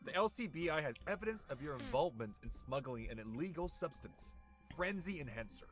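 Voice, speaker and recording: A man's voice: fairly high pitch, expressive, brisk, lightly acted. An actor playing a smug male enforcer in a game, mocking and taunting as he talks. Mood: stern, official. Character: smug male enforcer officer making an arrest. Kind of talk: voiced game dialogue. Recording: music behind.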